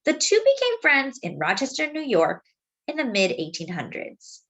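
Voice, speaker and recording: A woman reads a picture book aloud expressively, explaining things simply and deliberately as if to young children. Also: high voice, wildly swooping pitch, fairly bright tone, rough recording. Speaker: children's storybook reader.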